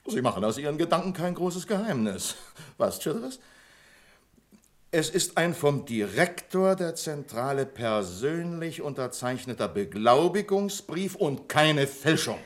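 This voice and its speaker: An actor in a 1970s German radio play, playing a pompous, stubborn, sarcastic bank branch manager with a condescending manner. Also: male voice, on the high side, sing-song, a little slow. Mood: sarcastic, dismissive.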